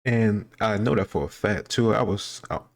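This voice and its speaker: A man's voice: fairly low pitch, steady tone, normal speed, gravelly. A man giving casual, off-the-cuff commentary as he answers quiz questions, wandering into explanatory asides.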